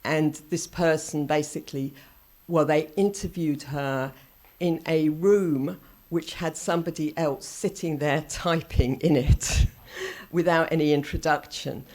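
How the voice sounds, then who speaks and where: A woman giving a conference talk, holding back her naturally loud voice and often stopping to correct herself as she thinks aloud. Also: low-pitched, fairly even intonation, a little slow. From England. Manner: loud voice, held down on purpose; thinking aloud, self-correcting, with short laughs. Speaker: conference speaker. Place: conference room.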